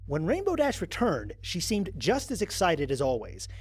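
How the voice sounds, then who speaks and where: A man's voice: a little high, normal speed, husky, heavily acted. A man playing a lone astronaut who narrates his mission logs in a wry, exasperated, conversational way, as in an audio drama. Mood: wry, upbeat. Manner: conversational, expressive, sardonic. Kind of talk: dramatized first-person log narration.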